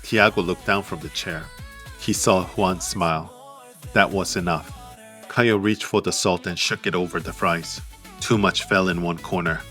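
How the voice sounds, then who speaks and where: Man, very low voice, bright edge, measured audiobook narration, dry close mic, faint music beneath. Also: fairly even intonation. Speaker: author and narrator.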